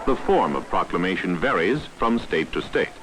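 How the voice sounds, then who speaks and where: A man's voice: sits low, lively intonation, normal speed, background noise. A man narrating a 1960s training film from a script in a formal, authoritative, instructional manner, on a vintage soundtrack.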